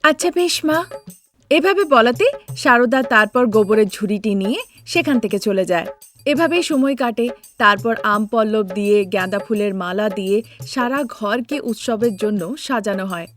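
A woman's voice: on the high side, lively intonation, normal speed, a bit bright, soft background music. A woman narrator reading scripted storybook narration for a cartoon tale.

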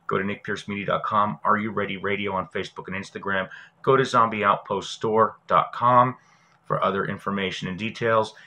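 A man's voice: fairly low pitch, pushed and bright. A man in his forties, a show host giving a casual how-to tutorial in a chatty, folksy way, speaking directly to viewers. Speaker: host of a prepping radio show. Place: small indoor room at a desk.

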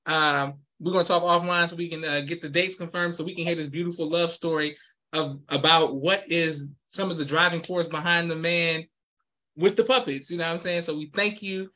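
A man's voice: fairly high pitch, fairly even intonation, fairly quick, bright, forceful tone, dull audio. A young man hosting a podcast interview over a video call, upbeat and affirming, with formal thank-yous. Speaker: podcast host. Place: indoor room with posters. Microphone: video call, webcam.

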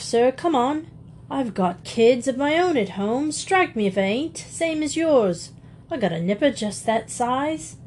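A woman voicing a gruff, husky working-class burglar who talks in slang and begs to be let off. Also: on the high side, a little mellow, a little hiss or hum. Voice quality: husky, thick voice. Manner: wheedling slang, dropped grammar.

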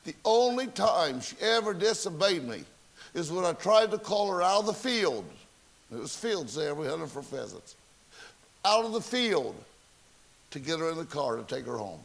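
A man preaching in a church, his voice sitting a little high for a man. He tells homely stories and asks rhetorical questions. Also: very animated, moderate pace, vocal fry. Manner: folksy storytelling with rhetorical questions, self-mocking. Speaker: pastor. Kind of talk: sermon. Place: church sanctuary.

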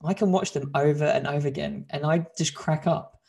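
A young man chatting casually on a video call, talkative and quick to laugh, often restarting his sentences. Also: fairly high pitch, steady tone, creaky, darkish tone. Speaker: host doing a video challenge. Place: bright home room. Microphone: video call, desk mic.

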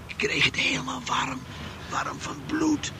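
An actor playing a dazed, badly wounded man in a radio drama, weak, gasping and halting as he dies. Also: extremely high pitch, steady tone, noisy background. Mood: delirious. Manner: halting, repetitive, pleading.

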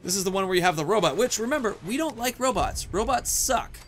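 A man's voice: sits high, lively intonation, fast talker, fairly bright tone, soft background music. A man in his thirties giving casual, playful gameplay commentary, rambling and talking to himself as he plays. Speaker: gaming video host.

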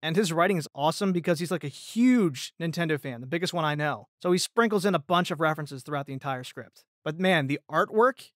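Higher-voiced man in casual podcast talk. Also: animated, brisk, hardly any pauses, fairly bright tone, no room sound, clean studio sound. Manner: informal, peppers speech with "like" and "you know". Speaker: podcast guest, voice actor.

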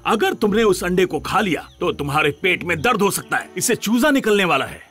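A man's voice: sits high, expressive, fairly quick, a bit bright, soft background music. A man voicing a fretful, earnest, protective bear in a cartoon, anxious and fussy. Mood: exasperated. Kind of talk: animated cartoon voice acting.

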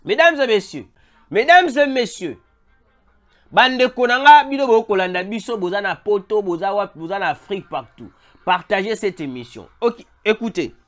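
Voice-over news commentator, Congolese French accent, stuffy with a cold, animated, keeps calling out to the audience. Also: mid-range voice, normal speed, faint music behind. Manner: animated, repeatedly calls out to viewers, mixes in Lingala. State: has a head cold. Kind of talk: emphatic news commentary to viewers. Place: voice-over over a still image.

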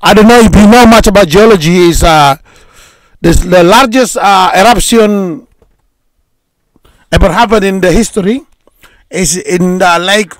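A man from North Sumatra, close to fifty, hosts a loose video-call chat. His voice is high for a man, and he loops and repeats words as he talks. The sound is dry, with no room echo. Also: unhurried, pauses often. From North Sumatra, Indonesia. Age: 40s. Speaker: host.

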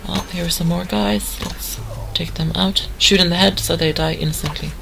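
A hoarse woman giving chatty, rambling commentary over a game she plays. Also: on the low side, fairly even intonation, normal speed, a bit bright, soft background music, poor audio. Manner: chatty, rambling, full of fillers. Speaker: gamer. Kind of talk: let's play commentary.

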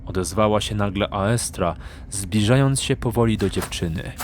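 A man's voice: fairly low pitch, moderate pace, darkish tone, a little hiss or hum. A man narrating a fantasy audiobook in a dramatic, scripted storytelling style.

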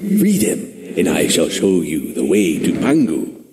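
Mythic giant bird: stately guardian spirit, lowish voice, slow formal speech, game music behind. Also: male voice, huge pitch swings, background noise, full character voice. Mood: proud, imploring. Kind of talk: dramatic game cutscene acting. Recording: echoing voice over music.

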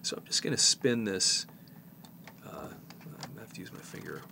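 Low-voiced man giving a casual tutorial. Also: animated, vocal fry, sharp tone, slight background noise. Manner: informal, halting as he works. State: slight head cold. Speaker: presenter, tape repair technician.

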